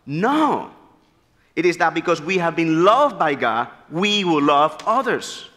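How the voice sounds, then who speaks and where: A man in his thirties from the Republic, preaching an earnest, animated sermon at a church pulpit. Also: on the high side, slow. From Dominican Republic. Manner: animated, gesturing freely, earnest storytelling. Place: large church sanctuary, at a pulpit. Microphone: pulpit mic. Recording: long church hall reverb.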